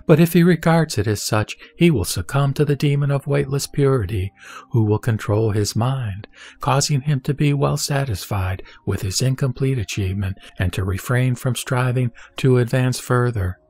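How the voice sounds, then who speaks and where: A man reading a Buddhist scripture aloud in a measured, solemn recitation. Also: medium pitch, a little mellow. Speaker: sutra reader.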